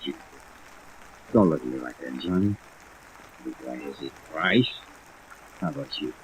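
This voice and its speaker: An actor playing a crooked insurance adjuster turned blackmailer in a 1950s radio drama, with folksy, wheedling slang. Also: male voice, a bass voice, lively intonation, unhurried. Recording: noisy old radio, rain sound overlaid.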